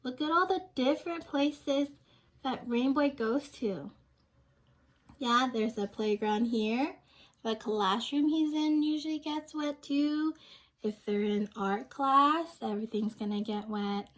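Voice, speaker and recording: A young woman reading a picture book aloud to children, pausing to ask them questions and explain things simply. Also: high voice. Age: young adult. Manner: simple explanatory asides, prompting young listeners.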